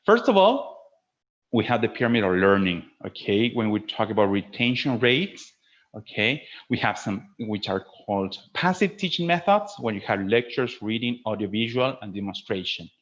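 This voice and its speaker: A man's voice: fairly low pitch, lively intonation, moderate pace, fairly bright tone. Man lecturing in a dull-sounding webinar. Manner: didactic, often ending phrases with a checking "okay?". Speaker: teacher giving a webinar. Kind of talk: webinar lecture to fellow teachers.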